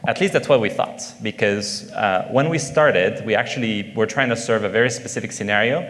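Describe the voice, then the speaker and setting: A man with a French accent speaks at a conference, his voice carrying a long reverb from the large hall around him. He pauses often on fillers as he works through his points and turns to the audience with questions. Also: fairly low pitch, a bit bright. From France. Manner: hesitant, many fillers, engages the audience with questions. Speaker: conference speaker, product manager. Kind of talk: conference talk.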